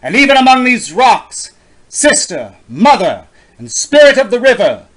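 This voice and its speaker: A man reads a long poem aloud in a dramatic style, fairly high in pitch for a man. His delivery is slow, solemn and incantatory, leaning on the repeated phrases. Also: big pitch swings, a bit bright. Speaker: poetry reader. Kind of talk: dramatic poetry reading.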